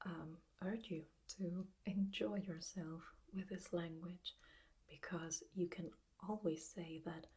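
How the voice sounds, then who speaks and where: A woman with an Italian accent giving a gentle whispered ASMR lesson, soft and hesitant with many pauses. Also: on the low side. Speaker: ASMR teacher.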